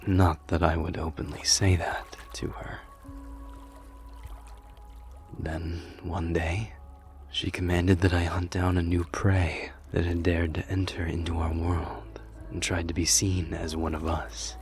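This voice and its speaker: A man performs a brooding wolfish warrior, once a general, in an ASMR fantasy roleplay. The voice is very deep and unhurried, pensive and often sinking into a breathy murmur. Music plays clearly beneath it. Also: level tone. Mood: reflective, somber. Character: brooding male wolf warrior, former general, troubled and loyal. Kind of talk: ASMR roleplay, scripted character monologue.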